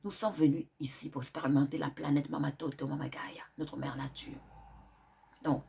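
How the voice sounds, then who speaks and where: A woman's voice: deep voice, little pitch movement, normal speed, creaky, warm tone, a little hiss or hum. A woman hosting a wellness channel with a cold, giving earnest spiritual advice and repeating her points for emphasis. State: has a cold.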